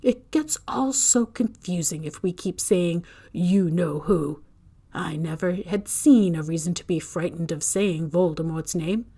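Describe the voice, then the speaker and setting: A serene old wizard who never raises his voice, voiced by a woman reading the story aloud. He speaks in a mild, unhurried way, dry humor under the calm. A storybook figure, kindly and composed. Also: lowish voice, husky. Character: old male wizard headmaster, calm and gently wry.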